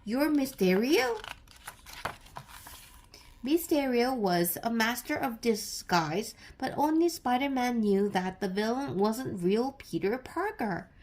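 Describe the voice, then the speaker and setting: A woman reading a children's story aloud as scripted narration, with deliberate, expressive storytelling. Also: fairly high pitch. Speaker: storybook reader.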